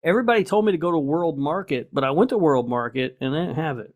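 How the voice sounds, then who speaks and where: A man's voice: a little high, expressive, fast. A middle-aged man co-hosting a podcast, chatting casually. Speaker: podcast co-host. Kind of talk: casual chat.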